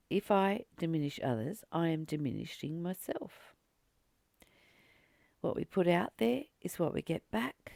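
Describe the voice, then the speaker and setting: Slow, creaky-voiced spiritual teacher. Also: mid-range voice, darkish tone, dry recording. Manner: deliberate, earnest, with long pauses between short phrases. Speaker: spiritual teacher reading and commenting on a text. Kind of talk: reflective spiritual study, reading aloud with personal commentary.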